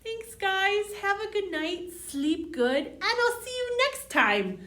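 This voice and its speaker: A woman's voice: very high voice, sing-song, slow, pushed and bright. A woman reading a storybook aloud to young children as a library storyteller, with a sing-song manner. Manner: sing-song, addressing children with simple questions. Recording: roomy, distant microphone.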